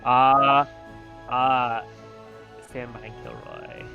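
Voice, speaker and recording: A man's voice: medium pitch, steady tone, extremely slow, vocal fry, narrow, tinny audio, over music. A man chatting casually as a player in a tabletop game over an online voice call. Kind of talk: casual chat.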